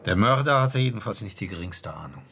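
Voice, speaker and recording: Low, gravelly police inspector. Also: male voice, animated, brisk, fairly bright tone, slight background noise. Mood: matter-of-fact. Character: seasoned police inspector, male, dry and matter-of-fact. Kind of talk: naturalistic German radio crime drama dialogue.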